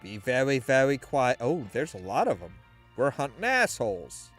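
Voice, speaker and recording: A man's voice: medium pitch, big pitch swings, moderate pace, fairly bright tone, faint music behind. A man giving casual, slangy, sweary commentary while playing a video game. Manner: profane, slangy.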